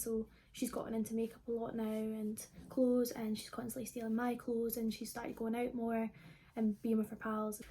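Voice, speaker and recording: A young Scottish woman of twenty talks to camera like a vlogger. Her voice is fairly high and mellow, with little rise and fall in pitch. She rambles informally and casually, as if chatting with friends. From Scotland. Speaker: vlogger answering viewer questions.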